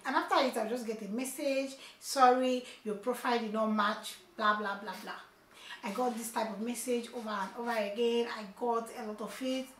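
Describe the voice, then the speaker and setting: A woman's voice: a little high, a little hiss or hum. A young woman chatting casually to her viewers in a vlog update, often asking "okay?" and calling out to "you guys". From not from China. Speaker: vlogger. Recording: echoey room.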